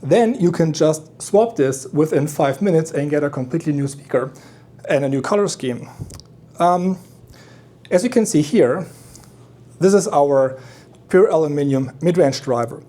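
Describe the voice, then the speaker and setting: A man giving a deliberate, technical product demonstration as a company representative, explaining features with a sales pitch. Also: expressive, mellow. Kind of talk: explanatory product presentation at an audio show.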